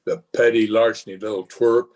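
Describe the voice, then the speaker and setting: A man playing a gruff, husky, contemptuous bystander grumbling in slang in an old-time radio comedy. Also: on the low side, little pitch movement, a little fast, darkish tone, close to an everyday voice.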